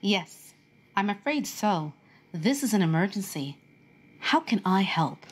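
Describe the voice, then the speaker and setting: A woman's voice: medium pitch, animated, measured pace, breathy edge. A woman playing a harried director of policy who answers calls from home and politely turns the caller down. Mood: officious. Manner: polite, officious.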